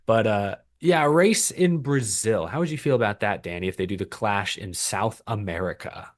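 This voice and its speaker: A man's voice: fairly low pitch, normal speed. A man co-hosting a racing podcast in casual chat, talking loosely with frequent laughs and restarts. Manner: chatty, laughs often, many restarts. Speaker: podcast co-host.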